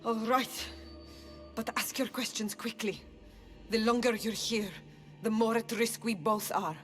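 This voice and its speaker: A woman's voice: on the high side, steady tone, measured pace, soft background music, natural voice. A woman playing a proud but frightened oracle priestess in a video game, speaking in a solemn, theatrical, earnest way. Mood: fearful, urgent. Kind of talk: dramatic video game cutscene acting.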